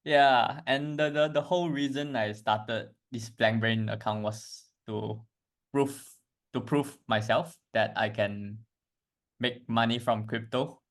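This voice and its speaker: A twenty-two-year-old man from Malaysia chatting casually as a podcast guest, halting and repeating himself. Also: mid-range voice, fairly even intonation. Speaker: podcast guest, crypto trader. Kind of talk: casual chat.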